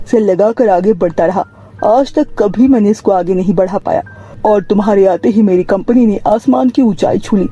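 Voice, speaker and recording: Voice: mid-range voice, mellow, slightly rough, highs cut off, close to an everyday voice. A performer voicing an appreciative male company owner in a cartoon story, earnest and formal.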